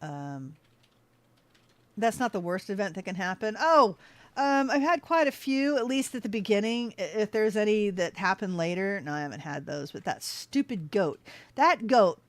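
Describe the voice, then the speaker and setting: A woman's voice: medium pitch, lively intonation, moderate pace, dry recording. A woman streaming a game, chatting casually with her viewers, easily sidetracked and laughing often. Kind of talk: casual livestream chat while playing.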